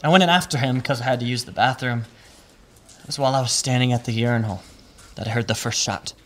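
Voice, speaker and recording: A man's voice: medium pitch, fairly bright tone, natural voice. A man playing a grieving, shaken robbery survivor giving emotional testimony in an audio drama. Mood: sombre. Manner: halting, emotional testimony turning to storytelling. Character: shaken, grieving male bank robbery witness, prickly, raw. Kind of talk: audio drama acting, first-person narration.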